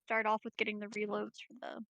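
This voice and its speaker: A woman's voice: medium pitch, completely flat delivery, fast, gravelly, a bit bright, dry recording, poor audio. A woman chatting casually as a player in an online tabletop game.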